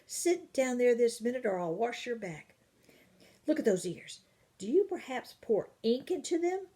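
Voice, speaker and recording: A woman voicing a brisk, fierce older nanny in a storybook reading, scolding a child. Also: fairly high pitch, big pitch swings, warm tone, slightly rough, pitched above the performer's own voice, full character voice.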